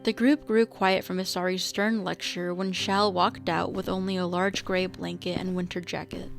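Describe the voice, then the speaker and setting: A woman's voice: on the low side, little pitch movement, normal speed, gravelly, soft background music. A woman reading scripted narration for a radio drama as its narrator.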